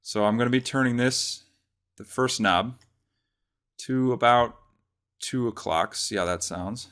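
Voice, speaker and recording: A man presenting a casual software tutorial, explaining step by step in an informal way. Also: medium pitch, measured pace, clean tone.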